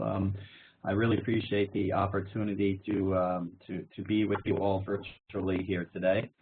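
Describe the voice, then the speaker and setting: A man joining a panel remotely by video call, speaking hesitantly with many fillers. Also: lowish voice. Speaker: chamber of commerce director, remote panelist. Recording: tinny call audio, faint noise.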